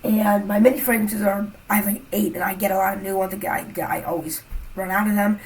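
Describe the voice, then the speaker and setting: A woman's voice: mid-range voice, steady tone, a little fast, a little mellow, low-quality recording. A young woman with a cold, chatting casually to camera as a fragrance reviewer, rambling with lots of fillers. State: has a cold, a bit congested.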